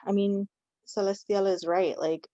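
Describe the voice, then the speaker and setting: A woman's voice: mid-range voice, steady tone, slow pace, warm tone, dry recording, muffled recording. A woman speaking earnestly as a panelist, often restarting with "like" and "um". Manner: earnest, repeating words, frequent "like" and "um".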